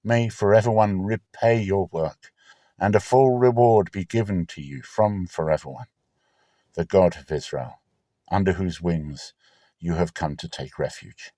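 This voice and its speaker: A man playing a kindly, authoritative landowner of ancient times, speaking in a measured, fatherly, formal way. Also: low voice, warm tone, dry recording, studio quality. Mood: gentle, reassuring.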